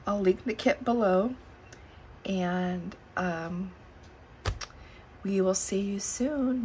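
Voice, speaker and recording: A middle-aged woman losing her voice, chatting slowly and musing aloud as she flips through her planner at a desk. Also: mid-range voice, a bit bright, slight background noise. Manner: slow and musing, thinking aloud. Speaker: planner channel host. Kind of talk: casual plan-with-me chat. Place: indoors at a desk.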